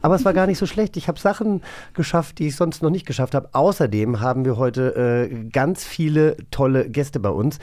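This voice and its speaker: A man's voice: medium pitch, lively intonation, normal speed, a little mellow, dry recording, studio quality. A man co-hosting a podcast, chatting casually in a rambling, self-correcting way. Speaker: podcast co-host. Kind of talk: casual chat.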